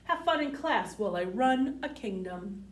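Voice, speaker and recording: A woman voicing a nervous, excitable teenage boy in a children's storybook read-aloud, with loud exclamations. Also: medium pitch, moderate pace, close to an everyday voice. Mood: cocky. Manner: loud exclamations when alarmed. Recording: roomy, distant microphone.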